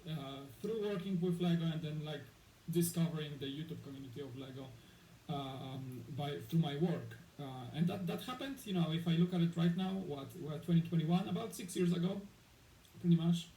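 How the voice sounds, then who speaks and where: Man from Poland with a mellow voice. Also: on the high side, normal speed. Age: 30s. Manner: rambling, many fillers and restarts. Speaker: guest. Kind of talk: casual interview chat. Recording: echoey room with faint noise.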